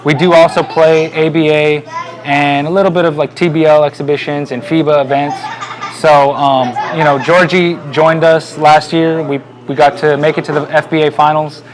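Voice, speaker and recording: A man, a team owner, presenting a sponsor segment in a relaxed way with many fillers. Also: a little high, moderate pace, a crowd in the background.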